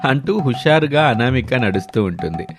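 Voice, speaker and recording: A man's voice: medium pitch, very animated, normal speed. Man narrating a moral story over music.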